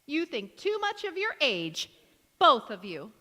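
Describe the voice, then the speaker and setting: A woman's voice: high voice, huge pitch swings, unhurried, sharp tone, heavily acted. A woman playing a bitter, disapproving female cousin with a tart, cutting delivery in a stage radio play. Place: large hall, live stage performance. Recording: echoey hall with long reverb.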